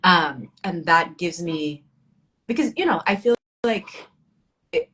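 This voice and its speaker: A woman with a low-pitched voice speaks as a guest poet in a casual literary conversation. She is bilingual in Arabic and English, and talks in a reflective, informal way with dry wit. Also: big pitch swings, slow pace, fairly bright tone, rough recording. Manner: reflective, informal, wryly humorous.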